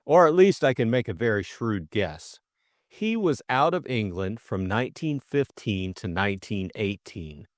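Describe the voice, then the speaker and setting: Man playing an eager young gentleman, very lively pitch. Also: a little slow, dry recording, studio quality. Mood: shrewd, earnest. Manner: breezy upper-class slang, exclamations. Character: eager young English gentleman amateur sleuth, breezy and excitable.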